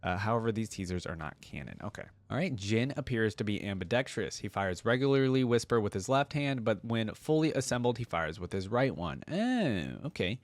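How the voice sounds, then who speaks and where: A man's voice: on the low side, big pitch swings, a little fast, hardly any pauses, dry recording. A man hosting a reaction video, reading aloud and musing casually with interjections.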